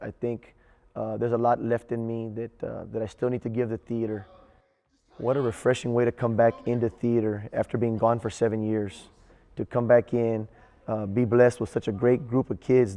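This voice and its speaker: Flat, mellow man in echoey room. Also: mid-range voice. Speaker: play director and coach. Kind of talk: reflective interview answers. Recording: echoey, long room reverb.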